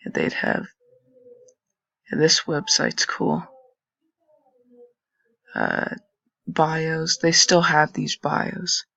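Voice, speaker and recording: A young man with a raspy voice, casually commenting and thinking aloud while browsing old web pages on a screen recording. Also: a little high, huge pitch swings, extremely slow, pauses often, sharp tone, no echo. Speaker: channel host. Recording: dull lo-fi dry recording.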